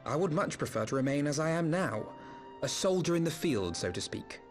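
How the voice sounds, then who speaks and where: A man's voice: a little high, sing-song, fairly quick, soft background music. A man playing an earnest, humble and eloquent young leader in a video game, with formal, articulate speech. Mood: sheepish. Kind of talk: video game cutscene acting.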